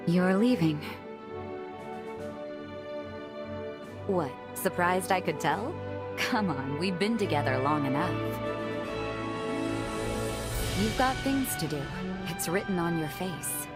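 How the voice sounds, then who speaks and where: Game city leader: slightly low register, warm, assured, teasing, clear musical backing. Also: female voice. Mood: wistful, resolute. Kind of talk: video game cutscene acting. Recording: music behind the voice.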